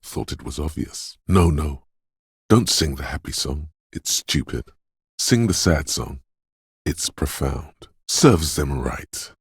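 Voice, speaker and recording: Super deep raspy inner voice in a video game, dreamy and ominous, slow hushed theatrical delivery. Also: male voice, expressive, dry recording, studio quality, heavily acted. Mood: brooding, insistent. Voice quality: very deep, husky, gravelly whisper-edged rumble. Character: mysterious inner voice of a detective's intuition, brooding, dreamlike. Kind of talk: dramatic video game voice acting. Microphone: close, dry studio microphone.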